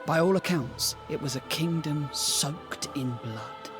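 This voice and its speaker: Urbane, higher-voiced gentleman spirit. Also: takes it slowly, music in the background. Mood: urbane, musing. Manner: genteel, articulate, musing. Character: urbane, worldly male warrior spirit, former merchant. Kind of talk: fantasy video game voice acting.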